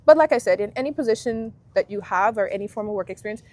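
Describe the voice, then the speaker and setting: A woman in her twenties from Guyana, a university alumna, talking through her experiences in a relaxed, reflective way as an interview guest. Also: mid-range voice, fast, dry recording. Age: 20s. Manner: reflective, friendly, thinks aloud with fillers. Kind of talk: casual interview chat.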